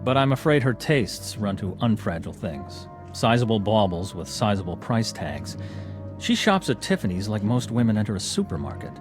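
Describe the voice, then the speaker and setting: A man's voice: fairly low pitch, big pitch swings, moderate pace, over music. An actor playing a proud, wryly bitter clubman in an audio drama, speaking formally and theatrically. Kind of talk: modern audio drama acting.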